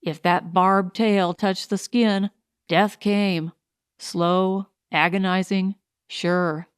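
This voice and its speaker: A woman narrates a pulp science fiction audiobook at an unhurried pace. Her voice is smooth and clear, at a medium pitch, recorded close and dry. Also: steady tone, dry recording.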